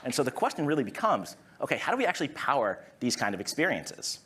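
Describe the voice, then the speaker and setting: A man in his thirties from Massachusetts with a Massachusetts accent, giving an energetic, conversational conference presentation on stage and often tagging "right?" onto his points. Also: medium pitch, fast, slightly rough. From Massachusetts, USA. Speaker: conference speaker. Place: conference stage before an audience.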